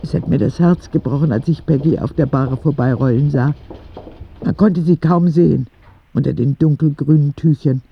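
A man playing a sick ten-year-old boy who reads his candid, earnest letters to God in a husky, gravelly voice, in a radio drama. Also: on the high side, moderate pace, muffled recording, a little hiss or hum. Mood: anxious. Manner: confiding, earnest, with naive directness.

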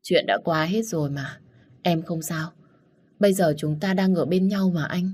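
A woman voicing a gentle young woman who speaks softly and soothingly to her lover. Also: lowish voice, normal speed, husky. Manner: soft, soothing.

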